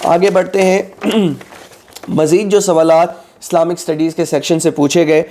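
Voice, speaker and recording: A man's voice: fairly high pitch, fairly even intonation, moderate pace, dark tone. A man with a slightly sore throat, methodically teaching exam preparation and often checking in with his students. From Pakistan. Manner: methodical, repeats "ठीक है" often. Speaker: exam prep tutor. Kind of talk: instructional lecture.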